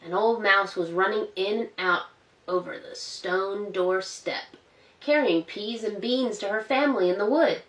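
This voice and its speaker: A woman librarian reads a storybook aloud for an online story time, gentle and expressive. She stops now and then to ask the children questions. The room is roomy and echoey, and she sits a little back from the mic. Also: medium pitch, measured pace, far from the mic. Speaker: school librarian. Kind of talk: reading a picture book aloud to children.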